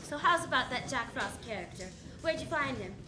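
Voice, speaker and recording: High-voiced wisecracking lady detective acted by a woman. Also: lively intonation, a little fast, a crowd in the background, rough recording. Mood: teasing, probing. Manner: sardonic, snappy, quipping hard-boiled delivery. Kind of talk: old-time radio detective acting.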